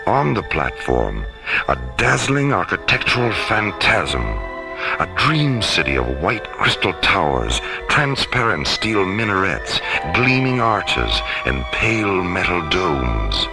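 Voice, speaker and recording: Guy narrating space adventure, really deep, animated and grand, music under it. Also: gravelly. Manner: grand, measured storytelling. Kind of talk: dramatic scripted sci-fi radio narration.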